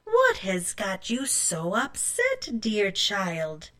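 A woman performs a kindly old village woman in a storybook. She speaks gently and slowly, drawing out her words. Her pitch swings expressively as she soothes and gives thanks. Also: huge pitch swings, full character voice. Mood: soothing.

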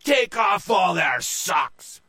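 A man's voice: sits high, big pitch swings, a little slow, sharp tone, pitched above the performer's own voice, exaggerated voice. A man voicing a bold, blustering male pirate captain in a storybook tale, bossy and roaring, whiny when crossed.

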